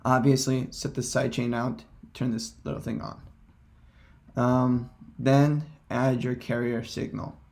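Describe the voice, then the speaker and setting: Man with a creaky voice, casual tutorial. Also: mid-range voice, flat delivery, a little slow, darkish tone. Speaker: tutorial presenter.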